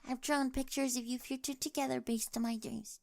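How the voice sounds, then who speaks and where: A woman's voice: sits high, fairly even intonation, fairly quick, gravelly. A woman playing an odd, fanciful young girl who talks with earnest, matter-of-fact formality in an ASMR comedy roleplay. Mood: solemn, dreamy.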